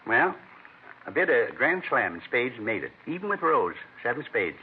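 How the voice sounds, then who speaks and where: An actor playing a rambling, earnest middle-aged American neighbor in an old radio crime drama, plain-spoken and folksy. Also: male voice, medium pitch, very animated, moderate pace, slight background noise, close to an everyday voice. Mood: proud, matter-of-fact. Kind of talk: 1950s radio acting. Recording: thin, narrow-band vintage radio recording.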